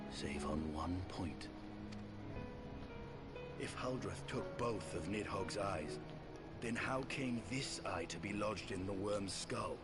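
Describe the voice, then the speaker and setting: An actor playing a grave, noble knight in a fantasy video game, speaking in formal, archaic phrasing. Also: male voice, lowish voice. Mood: troubled, pondering. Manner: solemn, formal, archaic diction. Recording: voice under loud orchestral game music.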